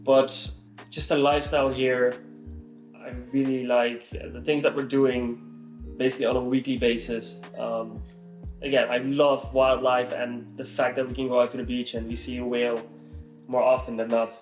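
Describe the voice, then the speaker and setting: A man's voice: mid-range voice, level tone, moderate pace, reverberant, faint music behind, poor audio. A young man with a Dutch accent, chatting casually and thoughtfully as a vlogger. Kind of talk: casual chat.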